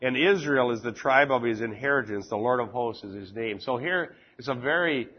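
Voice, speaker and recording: A man's voice: mid-range voice, slightly rough. Give me a chatty man teaching a Bible study, on a slightly dull recording. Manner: chatty, reads scripture slowly and deliberately, laughs easily. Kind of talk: informal Bible class teaching. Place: classroom with fans running.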